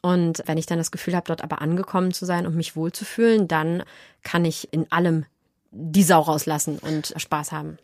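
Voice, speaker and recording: Low-voiced young woman chatting casually. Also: fairly even intonation, normal speed, dry recording, studio quality. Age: young adult. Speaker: guest, actress and audiobook narrator. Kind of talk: casual interview chat.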